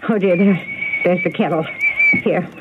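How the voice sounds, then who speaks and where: An actress playing a genteel, agitated older spinster telling family secrets in a radio mystery drama. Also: female voice, fairly low pitch, steady tone, moderate pace, natural voice. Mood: wistful, confiding. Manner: fretful, genteel, dramatic storytelling. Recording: narrow, noisy old radio broadcast recording.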